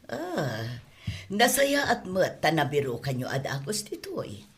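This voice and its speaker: Woman acting a low-voiced, animated hostess. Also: a little slow, exaggerated voice. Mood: welcoming. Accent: Ilocano. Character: hospitable, chatty wife of an employer, adult woman. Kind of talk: Ilocano audio drama acting.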